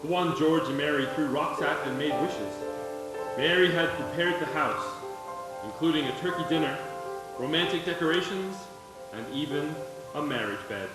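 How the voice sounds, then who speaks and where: A man's voice: fairly high pitch, moderate pace, natural voice. A man playing the storytelling narrator of a small-town tale in a scripted live radio play. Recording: echoey stage with loud music.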